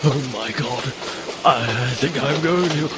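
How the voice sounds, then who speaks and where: An actor playing an earnest, excitable doctor sidekick in a comic audio drama. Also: male voice, slow, lightly acted. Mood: flustered. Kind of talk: audio comedy drama acting. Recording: very loud train or engine rumble behind.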